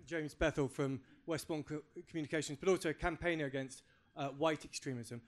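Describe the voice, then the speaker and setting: A man's voice: fairly high pitch, steady tone, fairly quick. A man in the audience giving a rambling preamble before asking his question on a handheld microphone. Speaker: audience member. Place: conference room.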